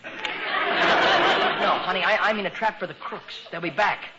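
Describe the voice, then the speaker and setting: An actor playing an eager, self-important American teenage son who fancies himself a private eye, melodramatic and cocky, in 1940s radio comedy style. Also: male voice, high-pitched, moderate pace, a loud crowd. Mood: excited, earnest. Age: young adult. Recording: muffled, noisy 1940s radio recording.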